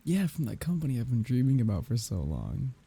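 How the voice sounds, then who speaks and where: A grieving man played by a male voice actor murmurs an intimate farewell to his dead lover. His voice is very dark and mellow, thick with breathy husk and gravel. He speaks haltingly, tender and wry, in the close style of an ASMR audio drama. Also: huge pitch swings, full character voice. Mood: playful, bittersweet. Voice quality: husky, breathy, gravelly low voice.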